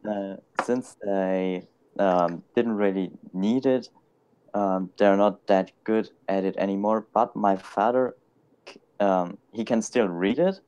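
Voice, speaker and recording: Halting young man, low voice. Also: darkish tone, slight background noise. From Germany. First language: German. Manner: hesitant, halting, frequent ums and light laughter. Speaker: guest. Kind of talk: casual live chat.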